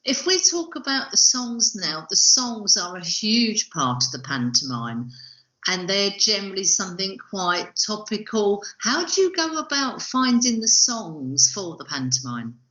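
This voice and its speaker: A woman's voice: mid-range voice, big pitch swings, measured pace, bright, forceful tone. A woman hosting a podcast, asking questions in a conversational interview style. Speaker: podcast host. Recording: roomy, dull lo-fi audio.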